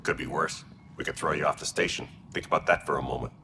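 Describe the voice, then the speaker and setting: An actor playing a stern alien security chief in a video game, measured and dry, with a gravelly voice. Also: male voice, pushed and bright, slight background noise. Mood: dry threat. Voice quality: deep, gravelly.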